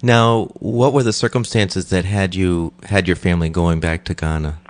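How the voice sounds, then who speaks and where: A man hosting a radio interview program, introducing the show and asking questions in a calm, steady announcing manner. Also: sits low, moderate pace. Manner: calm, steady announcing, with brief back-channel replies. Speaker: radio host.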